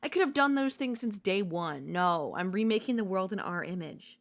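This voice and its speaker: A woman playing an obsessive, domineering yandere in a dark ASMR roleplay, seductive and smug, slow and teasing, slipping into whispers. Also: mid-range voice, lively intonation, close to an everyday voice. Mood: gloating, excited. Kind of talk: dark ASMR audio roleplay, intimate dramatic acting.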